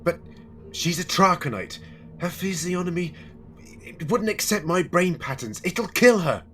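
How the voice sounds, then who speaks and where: A man playing an anguished, earnest, protective time-traveller hero in an audio drama, halting when distressed. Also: high-pitched, animated, fairly bright tone, slight background noise. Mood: horrified.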